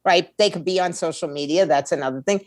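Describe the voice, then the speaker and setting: A woman's voice: on the low side, fast, no room sound, clean studio sound. A woman giving blunt, opinionated conversational answers as an interview guest, in short emphatic statements. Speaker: guest, music label owner and attorney.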